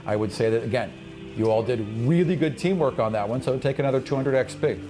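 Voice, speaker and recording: A man's voice: mid-range voice, quick pace, over music. A man speaking as game master and host of a fantasy tabletop podcast.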